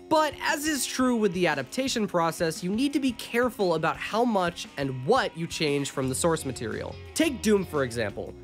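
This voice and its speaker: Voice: medium pitch, a bit bright. A narrator delivering a scripted video essay in an opinionated, sarcastic, emphatic way.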